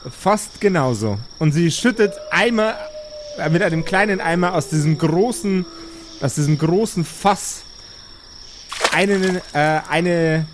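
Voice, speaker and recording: A man's voice: on the high side, a little slow, background noise. A man narrating an improvised tabletop game as game master, joking and searching for words as he goes.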